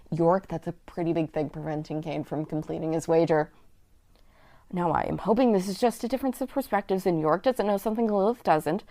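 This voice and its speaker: A woman with a low voice delivers a prepared voice message as a listener. She explains her points articulately, with a dry, unechoing sound. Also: a little mellow, dry recording. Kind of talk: prepared fan theory commentary.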